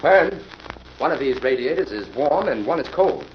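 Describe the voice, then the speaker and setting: An actor playing a brisk, level-headed and commanding investigator in a 1940s radio mystery. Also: male voice, mid-range voice, sing-song, close to an everyday voice. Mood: businesslike. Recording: dull, noisy old radio recording.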